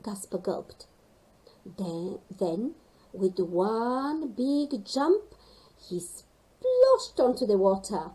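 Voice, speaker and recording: A woman reading a children's story expressively, animated and engaging young listeners. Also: mid-range voice, extremely slow, low-quality recording.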